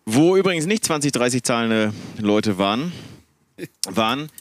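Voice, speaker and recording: A man's voice: mid-range voice, normal speed, no echo. A man from the Ruhr area with a Ruhr German accent, telling long, rambling concert stories in a casual podcast chat and correcting himself as he goes. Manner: rambling, self-correcting, animated anecdotes. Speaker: podcast participant.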